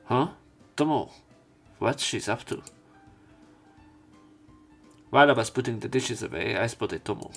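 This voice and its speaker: A man's voice: mid-range voice, expressive, unhurried, creaky, pauses often, fairly bright tone. A man narrating a let's play, reading visual novel narration and dialogue aloud. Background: game music.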